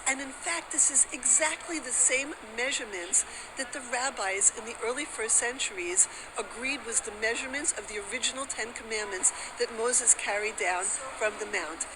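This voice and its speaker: A woman's voice: fairly high pitch, normal speed, background noise. A woman giving an explanatory presentation as a specialist showing an artifact.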